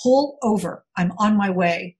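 A woman playing a concerned, soothing professional woman on a car phone, gently coaxing with direct questions. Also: medium pitch, measured pace, lightly acted.

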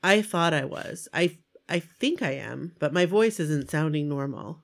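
Hoarse, congested woman co-host, lively pitch, chatty. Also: a little slow. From Maine. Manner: chatty storyteller, laughs often. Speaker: podcast co-host. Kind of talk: casual chat.

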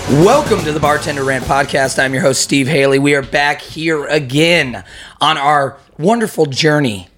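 A man hosting a podcast, his voice hoarse, chatting in an upbeat, mock-grand way with plenty of swearing. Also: on the high side, normal speed, a bit bright, faint music behind. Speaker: podcast host. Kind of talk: casual crude banter. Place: room in a house used as studio.

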